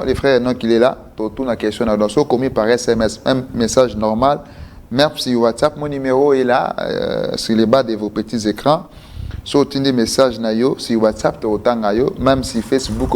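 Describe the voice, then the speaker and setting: A man from DR Congo hosting a live call-in interview, talking with animated swings and slipping between French and Lingala. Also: medium pitch, slight background noise. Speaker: host.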